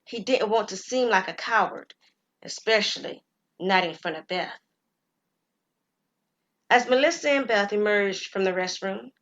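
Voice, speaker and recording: A woman storyteller narrating an audio drama story in a measured, clear reading with gentle suspense. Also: medium pitch, lo-fi sound.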